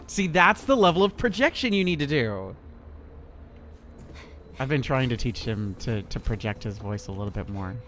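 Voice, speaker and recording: A man's voice: mid-range voice, expressive, moderate pace, long pauses, a bit bright, slight background noise. A man in his thirties streaming a horror game, chatting in a rambling, laid-back way with a lot of swearing. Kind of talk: casual gameplay commentary.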